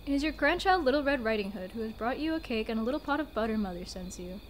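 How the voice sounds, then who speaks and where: A woman's voice: fairly high pitch, animated, fast talker, a little hiss or hum, lightly acted. Woman doing a sweet naive little girl. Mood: innocent. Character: innocent trusting little girl.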